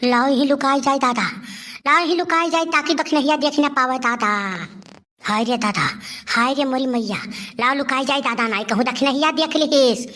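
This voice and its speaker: A melodramatic, scheming older aunt from a village cartoon, acted by a woman, speaks in a piercing, rather high voice. Her Awadhi accent of eastern Uttar Pradesh carries a stream of wailing, repeated exclamations. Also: moderate pace, sharp tone. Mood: panicked lament. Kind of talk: cartoon comedy monologue in rural dialect.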